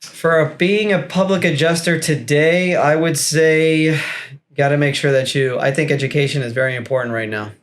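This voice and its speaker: A man in his forties from Miami, a guest on a podcast, talking casually in a blunt, profane way and quick to agree. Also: fairly high pitch, steady tone. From Miami, United States. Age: 40s. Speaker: guest, business coach. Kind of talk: casual conversation.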